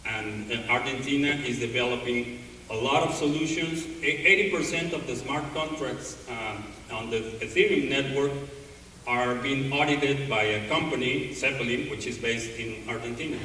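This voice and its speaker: A man's voice: medium pitch, moderate pace, sharp tone. A man giving a conference talk, full of fillers and restarts. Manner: hesitant, many fillers and restarts. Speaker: conference speaker. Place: large conference hall. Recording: echoey hall, distant mic, faint noise.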